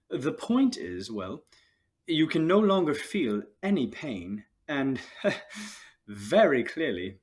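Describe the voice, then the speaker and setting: An actor playing a pompous, blustering wizard professor with false confidence in an audio drama. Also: male voice, medium pitch. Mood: flustered, sheepish. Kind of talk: fan audio drama acting.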